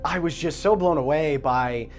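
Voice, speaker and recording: A man's voice: fairly high pitch, moderate pace, soft background music. A man chatting casually in an interview.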